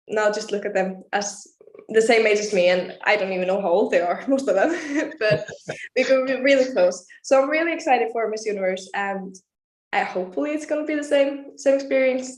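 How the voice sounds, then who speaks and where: A woman's voice: fairly high pitch, fairly quick, roomy sound, low-quality recording. An eighteen-year-old woman from Iceland, a pageant contestant answering interview questions earnestly and laughing often. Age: young adult.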